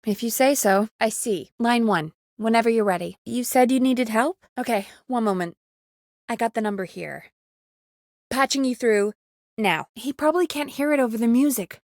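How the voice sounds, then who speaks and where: An actress playing a friendly, teasing woman who produces a small-town radio show in a video game, talking in an earnest, expressive, chatty way. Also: on the high side, no echo, clean studio sound. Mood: upbeat, helpful, then businesslike, then uneasy, concerned. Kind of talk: video game voice acting, conversational.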